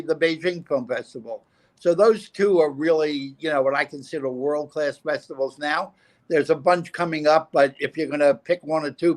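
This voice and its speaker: Man, panelist, fairly high voice, confident, gives advice. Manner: confident, advisory, with fillers. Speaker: panelist, film industry professional. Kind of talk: panel discussion answer.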